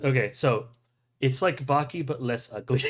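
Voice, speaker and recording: A man's voice: medium pitch, animated, moderate pace. A man hosting a podcast, chatting casually and enthusiastically in a rambling way with frequent chuckles. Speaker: podcast host. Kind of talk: casual chat about anime.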